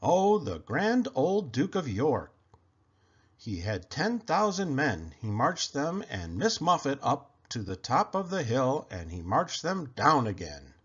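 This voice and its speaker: A man reads a children's story aloud. His mid-pitched voice moves up and down a great deal as he tells the tale expressively. The recording is somewhat dull and lo-fi. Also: huge pitch swings, slow pace, a bit bright, husky. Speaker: children's book reader.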